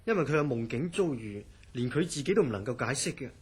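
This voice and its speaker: An actor playing a rational, skeptical man in a horror radio drama, explaining things in a husky, doubting, faintly amused way. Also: mid-range voice, sing-song, fast talker.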